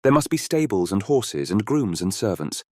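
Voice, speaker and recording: A man's voice: on the low side, sing-song, normal speed, a little mellow, dry recording. A man acting a bold, confident young fairy-tale hero in a storybook audiobook, with a courtly, commanding delivery.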